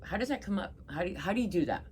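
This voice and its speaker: Woman host with a very low voice, curious and friendly, faint hiss. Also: lively intonation, very fast, a little mellow. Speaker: podcast host. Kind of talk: casual interview questions.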